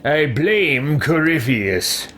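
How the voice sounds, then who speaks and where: A man voicing a doddering, self-regarding old fantasy hero in a game, halting and muddled. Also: a little high, very animated, fairly bright tone, slight background noise, heavily acted. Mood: blunt.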